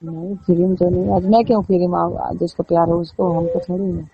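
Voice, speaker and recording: A woman's voice: lowish voice, little pitch movement, fairly quick, husky. A woman playing a coy, stubborn call girl on a casual phone call, teasing and coaxing as she repeats herself. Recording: muffled, noisy phone-call recording with clicks.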